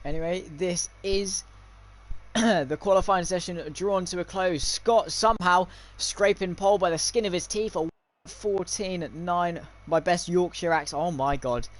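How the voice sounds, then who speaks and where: High-pitched young man commentating on sim racing. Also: steady tone, unhurried. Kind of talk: live esports race commentary with casual chat.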